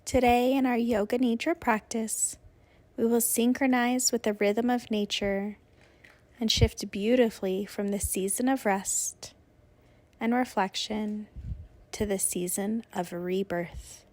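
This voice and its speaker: A woman leading a guided yoga nidra relaxation, giving soothing, unhurried instructions with long pauses. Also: a little high, steady tone, a little mellow.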